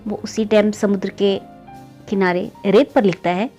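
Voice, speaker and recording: A woman's voice: steady tone, normal speed, dark tone, faint music behind. A woman narrating a moral story in a conversational way, often addressing listeners as friends and lifting her voice at each new turn of the tale. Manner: keeps calling listeners "friends", pitch rising on story beats.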